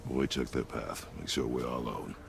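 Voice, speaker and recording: Very deep, gravelly stern warrior father. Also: normal speed, faint music behind, exaggerated voice. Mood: stern, guarded. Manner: terse, commanding. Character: stern brooding warrior father, gruff and terse.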